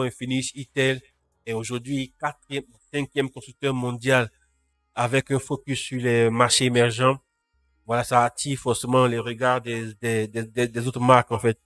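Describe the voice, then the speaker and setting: A man's voice: medium pitch, a bit bright, dry recording, clean studio sound. A man with a cold hosting a casual live tech news roundup, greeting chat viewers by name. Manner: talks to live chat viewers, frequent restarts. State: has a cold.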